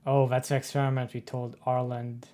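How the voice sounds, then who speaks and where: A man's voice: mid-range voice, completely flat delivery, brisk, warm tone. A man casually commenting on a game alongside a co-player, reacting in short informal remarks. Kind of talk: casual playthrough chat.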